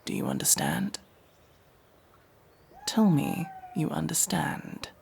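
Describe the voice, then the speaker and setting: A woman playing a gruff, guarded, world-weary elf in a fantasy ASMR roleplay, curt and bossy with hushed delivery. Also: low-pitched, huge pitch swings, takes it slowly, heavily acted. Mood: tense, stern. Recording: faint background noise with ambience.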